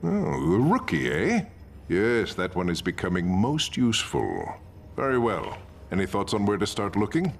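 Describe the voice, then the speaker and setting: A man's voice: lowish voice, huge pitch swings, moderate pace, vocal fry, slight background noise, full character voice. A man acting a scheming, wry and self-assured criminal mastermind in a video game cutscene. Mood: wry, calculating.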